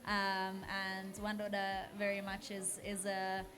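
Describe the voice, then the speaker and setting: A woman from Zimbabwe talks on a radio show as the guest singer. She sounds earnest and enthusiastic, with frequent ums and restarts in her phrasing. Music runs clearly under her voice in the studio. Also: mid-range voice, completely flat delivery, slow. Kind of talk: radio interview.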